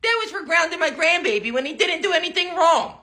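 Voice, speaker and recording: Woman as a feisty grandmother, bossy, doting, lively swooping delivery, comedy skit. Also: very high voice, full character voice. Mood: scolding. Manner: brisk, scolding, drawn-out greetings.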